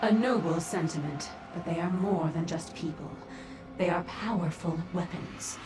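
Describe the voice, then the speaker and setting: An actress voicing a cold, composed otherworldly sorceress in a video game, speaking in a formal, measured, haughty way. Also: female voice, on the low side, background noise, lightly acted. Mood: composed, imperious. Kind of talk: video game character dialogue. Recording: echoing voice over background music.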